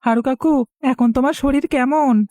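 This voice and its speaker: A woman's voice: high-pitched, normal speed, mellow, no room sound, studio quality, natural voice. A woman voicing an earnest, caring orphaned village boy in a cartoon, with a pleading way of talking. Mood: earnest, worried. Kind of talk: Bengali cartoon voice acting.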